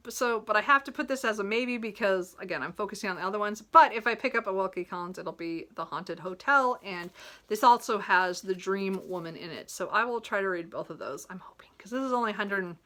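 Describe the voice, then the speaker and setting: Bright-voiced woman, chatty book vlogger. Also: on the high side. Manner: rambling, self-correcting, apologetic; giggles often while talking. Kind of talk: casual, chatty talk about a reading list.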